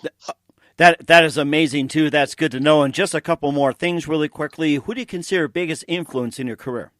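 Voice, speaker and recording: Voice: mid-range voice, a little fast, a bit bright, no echo. Interview host on a very dry mic. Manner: reactive, brief exclamations. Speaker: show host.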